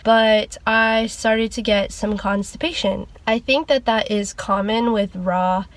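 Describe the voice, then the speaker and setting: A woman's voice: mid-range voice, steady tone, slow pace. A young woman vlogger with a congested, nasal voice from a cold, chatting casually at a loose, rambling pace with lots of fillers. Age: young adult. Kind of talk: casual sit-down chat.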